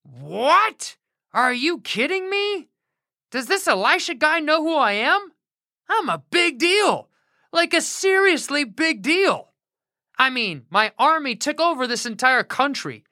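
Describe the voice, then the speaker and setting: A woman voicing a proud, hot-tempered male army general who blusters and exclaims loudly. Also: sits high, sing-song, measured pace, no room sound, clean studio sound, voice pushed up, full character voice. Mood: outraged. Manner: indignant, blustering.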